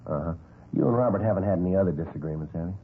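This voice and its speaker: An actor playing a polite, businesslike police detective in a vintage radio drama, deadpan, clipped and courteous. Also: male voice, low voice, rapid-fire, lightly acted. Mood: probing, polite. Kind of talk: understated 1950s radio acting. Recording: tinny, noisy vintage radio recording.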